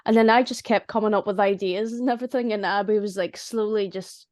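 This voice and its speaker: A woman chatting casually and humorously about making audio dramas, in a chatty, animated way. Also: medium pitch, a little fast, no echo, clean studio sound. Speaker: audio drama writer and voice actor. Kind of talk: casual chat.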